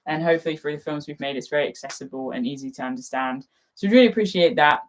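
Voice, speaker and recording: A woman's voice: low-pitched, very fast. A young English woman hosting a casual live chat, chatty and laughing often. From England. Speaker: host.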